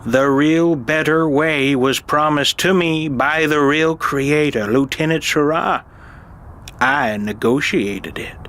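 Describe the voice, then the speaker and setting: A man playing an irascible, desperate old uncle in an audio drama, urgent and halting as he insists on his truth. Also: on the high side, a bit bright. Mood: agitated. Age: older. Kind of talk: dramatized audio fiction acting.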